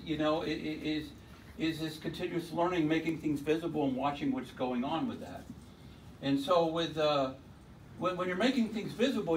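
Loud-voiced man lecturing from a distance. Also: on the high side, a little hiss or hum. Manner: rambling, with many restarts and repeated words. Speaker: conference speaker. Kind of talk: informal technical talk. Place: lecture room at a tech meetup. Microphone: handheld mic, often held away from the mouth.

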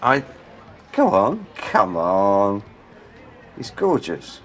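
Radio play: an actor as a nosy, friendly pub talker, lively pitch, unhurried. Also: male voice, heavily acted. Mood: teasing. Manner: eager, prodding questions, openly admiring. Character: curious, chatty man making conversation in a pub.

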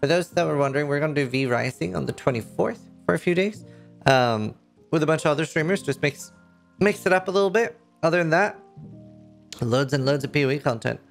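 A man in his thirties speaks English with a Norwegian accent, his voice carrying a gravelly, creaky texture. He rambles eagerly about his favourite game update. He is close to a desk mic, with faint guitar music behind. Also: a little high, animated, fairly quick. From Norway. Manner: enthusiastic, rambling, lots of fillers. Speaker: streamer. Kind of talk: casual game commentary to camera. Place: indoor streaming room.

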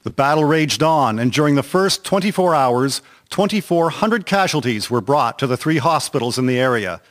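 A man narrating a historical radio drama from a script in a measured, formal reading. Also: medium pitch, expressive.

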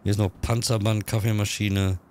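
A man with a cold, chatting quickly through casual gameplay commentary and reading on-screen text aloud. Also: on the low side, flat delivery, a little mellow, a little hiss or hum. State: has a cold. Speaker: let's-play gamer.

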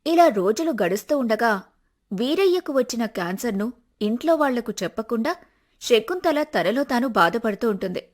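A woman's voice: fairly high pitch, expressive, normal speed. Woman narrating a scripted story.

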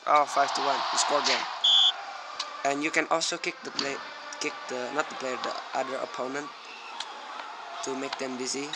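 A young man from Indonesia commentating a mobile soccer game at home, rambling and easily distracted, bursting out loud at big plays. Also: medium pitch, little pitch movement, normal speed, pauses often. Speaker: small gaming YouTuber. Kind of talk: casual mobile gameplay commentary. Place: at home with fireworks outside. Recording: loud background noise, clicks. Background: fireworks bangs from the neighborhood.

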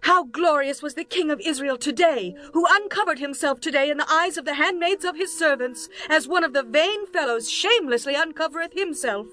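A woman's voice: high-pitched, expressive, fairly quick, hardly any pauses, pushed and bright. An actress playing a scornful queen in a dramatized Bible reading, sarcastic and declamatory. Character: scornful queen rebuking her royal husband. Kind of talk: dramatized Bible audio acting.

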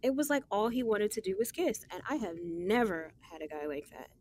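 A woman's voice: medium pitch, big pitch swings, brisk, a little mellow, no echo. An actress playing a guarded but smitten young woman, chatting in sassy, slangy style with her best friend in an audio drama. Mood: dreamy, smitten. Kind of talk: casual conversational audio drama.

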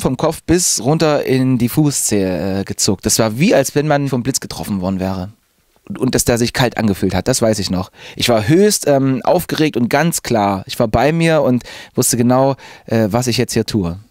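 A man's voice: medium pitch, dry recording. A man in his thirties, a radio presenter, talking casually and enthusiastically into a handheld microphone in a radio studio. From Zwickau, Saxony, Germany. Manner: lively, chatty, enthusiastic. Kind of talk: casual personal account to camera. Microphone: handheld reporter mic.

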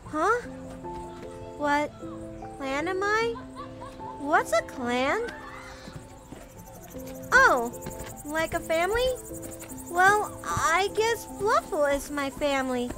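A very high, slow-speaking little orphan boy, innocent and earnest. It is an audio roleplay with music playing clearly behind the childlike voice. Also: very animated, exaggerated voice. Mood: innocent, friendly. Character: innocent, polite little orphan boy looking for lost Fluffle.

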